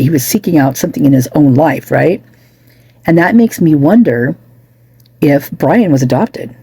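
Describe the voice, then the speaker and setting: A woman's voice: sits low, warm tone, husky, no room sound. A woman losing her voice, chatting casually and rambling with many asides as a true crime commentator.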